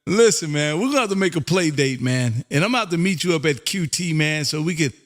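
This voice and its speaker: A high-voiced American man works the ham radio as host. He is loud and chummy, talks in a folksy, casual way and peppers his sentences with "man". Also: lively intonation, quick pace. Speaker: amateur radio operator and livestream host.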